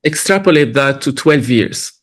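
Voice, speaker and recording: Brief: film director from Lebanon at a festival Q&A, a man of middle pitch, reflective and halting. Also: animated. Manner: thoughtful, halting, many restarts. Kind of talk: festival Q&A answers.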